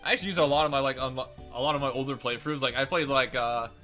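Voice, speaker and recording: A young man commentating a video game playthrough in a chatty, rambling way, his voice slightly raspy and crackly today. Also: medium pitch, steady tone, racing, bright, forceful tone, soft background music. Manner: rambling, chatty, self-correcting. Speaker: let's play host.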